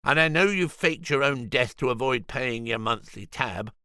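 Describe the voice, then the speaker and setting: A man's voice: medium pitch, expressive, moderate pace, bright, forceful tone, clean tone, no room sound, clean studio sound, pitched above the performer's own voice. A man playing a defiant, self-righteous male vegan shop owner with a sarcastic, preachy way of talking. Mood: indignant, preachy.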